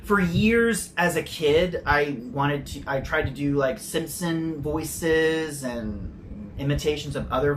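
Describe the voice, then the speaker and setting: A man's voice: fairly high pitch, a bit bright, a little hiss or hum, rough recording. A man casually reviewing sodas on his channel, chatty and prone to rambling tangents, with the odd swear word and no regional accent. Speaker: soda reviewer.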